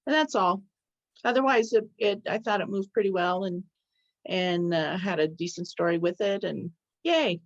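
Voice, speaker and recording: A woman giving casual notes on a video call, halting as she searches for words. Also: lowish voice. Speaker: participant giving script notes. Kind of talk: casual, unscripted critique. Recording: dull, lo-fi video call audio.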